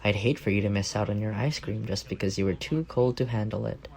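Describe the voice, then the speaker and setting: Male actor as tender, playful roommate secretly in love, intimate ASMR roleplay; low register with plenty of fry. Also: fairly even intonation, brisk. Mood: playful teasing. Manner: playful, gently teasing, tender. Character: affectionate, teasing young roommate quietly in love.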